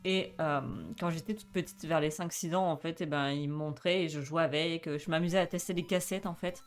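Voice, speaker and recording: A woman's voice: low voice, fairly even intonation, a little fast, faint music behind. A woman in her twenties chatting casually on a live stream, with many fillers, reading chat aloud and laughing often. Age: 20s.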